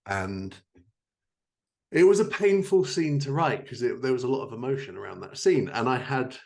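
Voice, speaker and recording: A man's voice: mid-range voice, animated, normal speed, gravelly, darkish tone. A man hosting a podcast in a casual, anecdotal chat style, with jokey asides and self-corrections. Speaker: podcast host, fiction writer.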